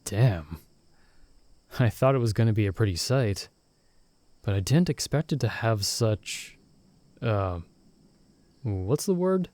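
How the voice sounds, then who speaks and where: A soft-spoken young companion from an audio roleplay, played by a man, steady and quietly teasing as he reassures a stranded friend. His voice sits at an ordinary middle pitch for a man. The sound is close and dry, with no room around it. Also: no echo.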